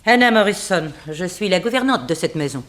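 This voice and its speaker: An actress playing a composed, proper housekeeper of seventy in a French radio drama, matter-of-fact and formal. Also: female voice, on the low side, expressive, brisk, a bit bright. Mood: composed, informative. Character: composed seventy-year-old female housekeeper, frank and proper.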